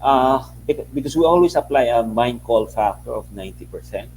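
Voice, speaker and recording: A man with a dark, mellow voice and a Filipino accent explains figures on a call. He speaks plainly in short bursts, with faint noise on the line. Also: mid-range voice, expressive. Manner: plain-spoken, short halting phrases. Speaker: mining company executive. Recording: slightly noisy call, faint hum.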